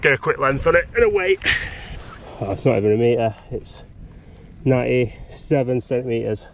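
Young guy vlogging by the river, gravelly voice, chatty and upbeat, bit of wind noise on the cam. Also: mid-range voice, animated, moderate pace. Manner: upbeat, chatty, talking to camera. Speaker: fishing vlogger. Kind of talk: casual outdoor commentary. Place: windy riverbank outdoors. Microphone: action camera on body or tripod.

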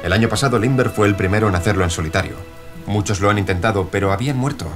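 Polite, persuasive gentleman publisher for radio play, played by an actor, lowish voice over clear music. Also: racing, lightly acted. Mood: persuasive, intrigued. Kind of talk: audio drama acting.

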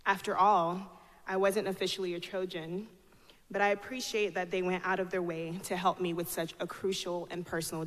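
A woman, giving a formal prepared speech as a student speaker at a podium. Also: medium pitch. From Ghana, grew up in North Carolina, United States. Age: 20s. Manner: formal, reading from notes. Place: large auditorium stage at a podium. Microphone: podium microphone. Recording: echoey auditorium with long reverb.

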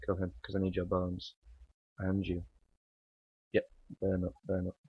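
A man with a croaky morning voice, rambling through casual gameplay commentary with many ums and uhs. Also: deep voice, completely flat delivery, a little slow, dry recording. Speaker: gaming channel host. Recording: dry, dull lo-fi home mic.

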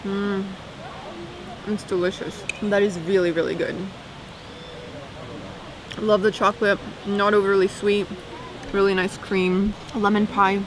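A woman's voice: mid-range voice, flat delivery, measured pace, pauses often. A young woman vlogging to camera on an outdoor cafe terrace, chatting and tasting food. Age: young adult. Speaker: travel vlogger. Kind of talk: casual chat to camera. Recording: noisy outdoor recording.

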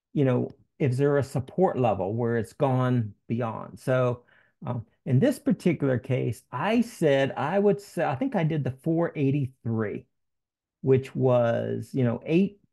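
An American man in his sixties teaching informally in an online webinar, speaking in a halting, conversational way. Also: mid-range voice, gravelly, warm tone. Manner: halting, conversational, frequent you-knows. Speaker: options trading meetup presenter. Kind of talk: informal webinar teaching with slides and screen share. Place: home office room. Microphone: headset.

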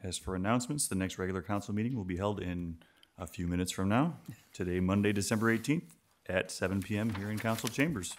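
A man's voice: fairly low pitch, fast talker, a little hiss or hum. A man formally chairing a public meeting, speaking procedurally into a microphone in a council chamber. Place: mid-sized council chamber indoors.

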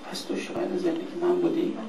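A man's voice: sits high, completely flat delivery. A man reading a play on stage, playing a dying, fearful man who pleads in anguish. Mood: wary, questioning. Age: middle-aged. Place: indoor hall or classroom, staged reading. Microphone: handheld dynamic mic on stand. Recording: noisy, echoey live hall recording, distant.

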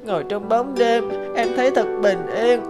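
A woman voicing a lonely, wounded little child in an animated fairy tale, plaintive and tearful. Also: fairly high pitch, moderate pace, over music, natural voice. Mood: wistful, tearful.

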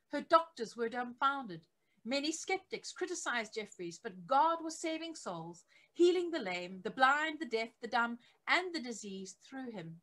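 A middle-aged woman reading a biography aloud as scripted narration, measured and with emphasis on key phrases. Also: fairly high pitch, fairly bright tone. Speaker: reader of a church history book. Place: attic home office, indoors.

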